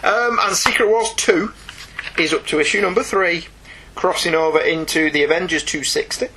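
A man's voice: on the high side, normal speed, bright, forceful tone, a little hiss or hum, poor audio. A British man hosting a comics podcast, moving between scripted review and casual, wry, articulate banter.

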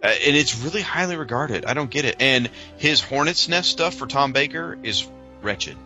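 A man's voice: medium pitch, lively intonation, normal speed, pushed and bright, muffled recording, soft background music. A man co-hosting a podcast, talking in an informal, opinionated, chatty way as he discusses things from loose notes. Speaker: podcast co-host.

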